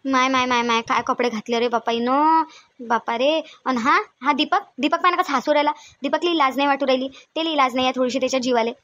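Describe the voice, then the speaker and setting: A woman's voice: sits high, fast, a bit bright, no echo, muffled recording. A woman voicing a bossy, suspicious older mother-in-law in a cartoon family skit, insistent and repetitive, with a Vidarbha Marathi accent. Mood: outraged, scolding. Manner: scolding, insistent, repeats her demands.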